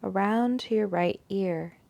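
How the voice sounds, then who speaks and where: A woman teaching a guided yoga nidra meditation in a soothing, unhurried, gentle manner, leaving long pauses between phrases. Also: mid-range voice, vocal fry.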